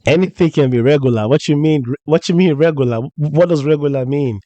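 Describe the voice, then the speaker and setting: A man with a flu-roughened voice, hosting a reaction channel and commenting bluntly and jokingly on clips. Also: a little high, a little fast, warm tone, no room sound, dull audio. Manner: blunt, joking, repeats himself. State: hoarse from flu.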